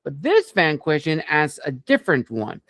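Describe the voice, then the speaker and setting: A man hosting an interview, speaking conversationally with measured, wordy lead-ins to his questions. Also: a little high, wildly swooping pitch, fairly bright tone, no room sound, studio quality. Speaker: interview host.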